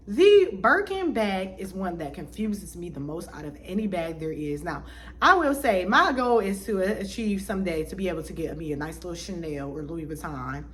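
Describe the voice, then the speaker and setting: A woman's voice: lowish voice, slight background noise. A woman, giving her opinions in a casual vlog and talking in a loose, chatty way with many fillers and restarts. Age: 20s. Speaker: vlogger.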